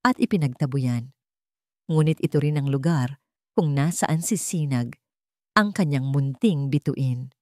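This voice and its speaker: A woman reading a scripted dramatic story in a measured, sentimental way, phrase by phrase. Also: low-pitched, a little mellow, no room sound, studio quality. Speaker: story narrator.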